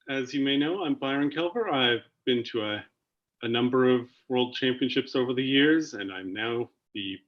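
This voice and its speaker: A man hosting an online panel, talking haltingly with many fillers and restarts. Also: medium pitch. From Canada. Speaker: panel host. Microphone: video call. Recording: muffled video call audio.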